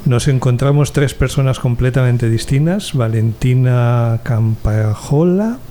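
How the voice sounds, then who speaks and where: A man with a Spanish accent from Spain, answering casually and haltingly as a guest in an interview. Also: mid-range voice, mellow, a little hiss or hum. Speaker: guest, amateur scriptwriter.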